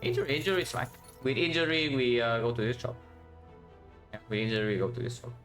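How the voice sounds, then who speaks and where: A man's voice: mid-range voice, animated, fast, fairly bright tone, soft background music. A man with a Vietnamese accent streaming a card game, thinking aloud and chatting with viewers, often ending with "yeah?". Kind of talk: casual live-stream commentary.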